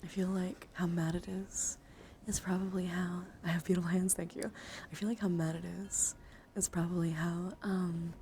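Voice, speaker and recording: A woman streaming ASMR as host, talking in a soft, breathy, often whispered voice, gentle and rambling as she addresses her viewers. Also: fairly low pitch, level tone, moderate pace. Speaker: livestreamer and VTuber host. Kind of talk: ASMR, softly whispered livestream chat. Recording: clearly noisy ambient background.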